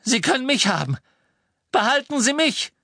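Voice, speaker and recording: A frantic teenage boy played by a male reader in a storybook. His voice leaps high and loud, pleading with desperate loyalty. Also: big pitch swings, slow pace, gravelly, no echo, clean studio sound, heavily acted.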